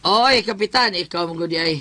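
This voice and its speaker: A man's voice: high voice, brisk, over loud music, lightly acted. A man with a Cebuano Filipino accent playing a sly, shameless village councilor who wheedles and brushes things off in a comedic radio drama. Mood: feigned bluster.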